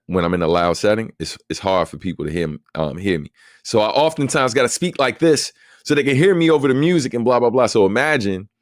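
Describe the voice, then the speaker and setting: A man with a very low, deep voice hosts a laid-back live Q&A, talking easily and at length with lots of "um" and "like". The sound is dry and close, with no room echo. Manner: relaxed, talkative, many fillers. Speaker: host. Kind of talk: casual livestream Q&A.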